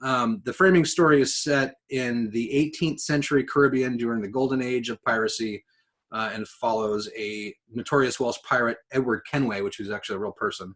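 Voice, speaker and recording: A man speaks in a middle-pitched, everyday voice as a podcast co-host. He is enthusiastic and conversational about pop culture, and drops casual swearing into his talk. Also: fairly even intonation, brisk, fairly bright tone. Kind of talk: casual chat about games and films.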